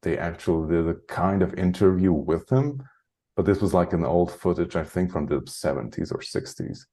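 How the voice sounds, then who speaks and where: Deep-voiced man, rambling. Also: normal speed, warm tone. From Hungary. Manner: rambling, heavy use of "like", frequent chuckles. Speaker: guest, spiritual channeler. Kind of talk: casual interview chat.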